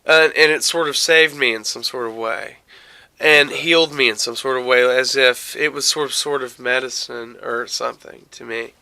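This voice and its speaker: A man hosting a casual, rambling podcast chat, haltingly searching for words and often repeating his phrases. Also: mid-range voice, fairly even intonation, gravelly, sharp tone. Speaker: podcast host.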